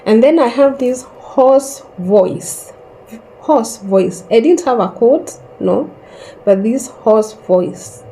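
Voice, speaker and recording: A woman in her thirties slowly telling a personal story in a calm, reflective way, pausing often. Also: mid-range voice, slight background noise, rough recording. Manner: slow and reflective, with long pauses. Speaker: host sharing a personal story.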